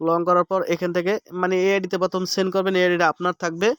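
Quick, high-pitched man explaining steps. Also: completely flat delivery, fairly bright tone, clear, resonant tone, no room sound, clean studio sound. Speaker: app tutorial host. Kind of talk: casual step-by-step screen tutorial narration.

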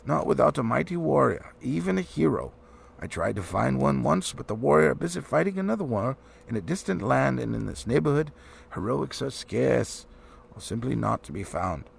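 A man voicing a wise, assured old male wizard who explains things in a measured way in a storybook reading. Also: medium pitch, expressive, gravelly, husky, full character voice. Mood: frank, persuasive. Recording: faint background noise.

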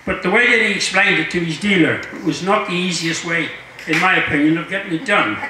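A jovial man in his sixties reading aloud haltingly to an audience, with humorous asides. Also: sits high, background noise. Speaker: guest reader. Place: large marquee tent with seated audience. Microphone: handheld mic through speakers, filmed from audience. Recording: very echoey PA in tent.

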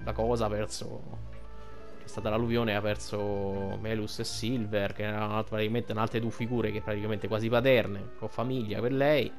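A man's voice: fairly low pitch, level tone, normal speed. An Italian man giving casual, rambling and crude commentary over a video game. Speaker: gameplay streamer commentator. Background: game music.

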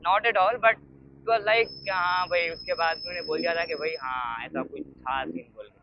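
A man's voice: on the high side, unhurried, vocal fry. An Indian man chatting casually and off the cuff as a motorcycle vlogger, rambling and repeating himself as he mixes two languages. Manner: rambling, repetitive, switching between Hindi and English. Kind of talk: casual unscripted chat while riding. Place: outdoors on a motorcycle on the road. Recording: muffled, noisy motorbike ride with engine and traffic sounds. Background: vehicle and road noise.

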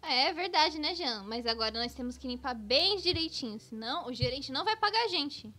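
A woman with a Brazilian accent voicing an excitable, scatterbrained best friend in a children's comedy cartoon, dramatic and full of exclamations. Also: sits high, fairly quick. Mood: explaining. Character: excitable, scatterbrained, over-prepared young female best friend.

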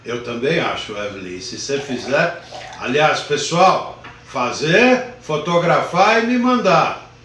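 An older man hosts a live cooking show from his home kitchen, talking slowly and warmly greeting viewers by name. His voice bounces around a hard, echoey kitchen, picked up by a phone set some way off. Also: fairly high pitch, animated, recorded from a distance, slight background noise. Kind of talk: casual live cooking demonstration. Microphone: phone camera at a distance. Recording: roomy kitchen echo from afar.

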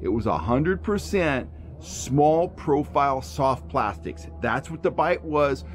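A middle-aged man with an American accent talks to camera like a fishing show host. His pitch sits in an average male range, and he explains things emphatically with folksy turns of phrase. Music plays faintly behind his voice. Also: expressive, takes it slowly. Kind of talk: instructional vlog talk to camera. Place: outdoors among trees.

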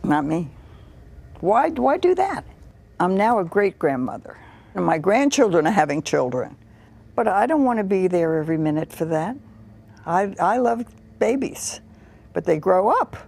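A woman's voice: mid-range voice, big pitch swings, normal speed, vocal fry, long pauses, darkish tone. A woman in her eighties, blunt and feisty, interviewed aboard a cruise ship. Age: 80s. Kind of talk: casual interview.

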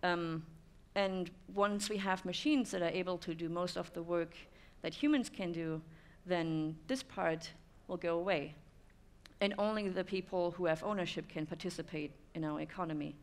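A woman's voice: lowish voice, normal speed. A German woman in her thirties giving a conference talk to an audience, thoughtful, with many "um" fillers. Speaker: speaker. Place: dim stone-walled room with audience.

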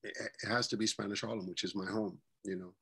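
A man in his forties with a New York accent and a fairly low voice talks over a webcam from a bedroom at home. He rambles through his memories, with plenty of fillers and restarts. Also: fairly even intonation, quick pace, gravelly, fairly bright tone, husky. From New York City. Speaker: guest. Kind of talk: casual interview chat. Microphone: webcam on a video call.

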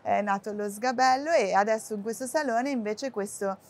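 A woman speaking in a casual interview as a company marketing director, relaxed and pausing often with hesitations. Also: a little high. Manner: relaxed, with frequent "eh" hesitations and light laughs. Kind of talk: casual trade-fair interview. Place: busy furniture fair stand.

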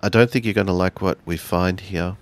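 A man playing a sober, law-minded samurai in a roleplay game, measured and formal. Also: low-pitched, fairly even intonation. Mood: concerned.

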